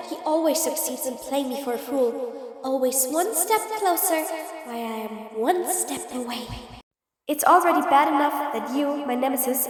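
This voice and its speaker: A woman's voice: high voice, slightly rough. A woman playing a sly, impatient supervillain in a scripted audio roleplay, speaking in a theatrical, mocking way with formal, wordy phrasing. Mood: frustrated.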